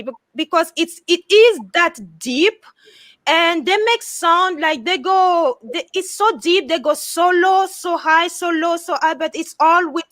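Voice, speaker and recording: A woman, French by first language, talks in a high, bright, ringing voice. The sound is dry and close, with no room to it. She chats in an animated way and laughs often. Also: dry recording. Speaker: tarot reader guest. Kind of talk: casual live chat.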